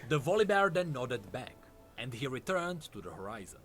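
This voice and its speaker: A man's voice: medium pitch, big pitch swings, moderate pace, a bit bright, slight background noise. A man reading scripted lore narration in a dramatic storytelling manner. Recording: music under the narration. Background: background music.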